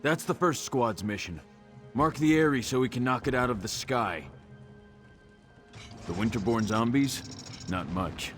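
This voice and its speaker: An actor playing a gruff, weary orc siege commander in a video game, briefing in a blunt, sardonic way. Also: male voice, medium pitch, animated, unhurried, long pauses, natural voice. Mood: businesslike, commanding. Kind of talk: video game character dialogue. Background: music.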